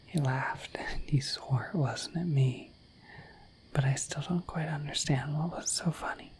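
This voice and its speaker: A woman voicing a shy, friendly dragon in a breathy whispered ASMR roleplay, rambling gently and hesitantly to the listener. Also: low-pitched, measured pace, low-quality recording. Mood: musing, gentle. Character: shy, friendly, dorky dragon in humanoid form.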